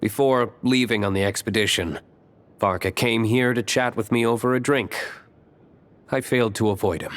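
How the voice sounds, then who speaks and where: A man's voice: mid-range voice, normal speed, a bit bright, lightly acted. A man playing a reserved, stern young tavern owner in an anime video game, dry and serious. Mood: guarded.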